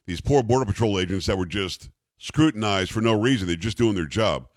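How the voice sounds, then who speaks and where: A man's voice: lowish voice, lively intonation, brisk, no echo, studio quality. An American man hosting political talk radio, giving opinionated commentary in an emphatic, incredulous and sarcastic manner. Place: radio studio.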